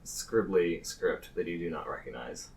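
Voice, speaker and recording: A man acting as game master, describing scenes in an improvised tabletop game with halting, deliberate phrasing. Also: low voice, background noise.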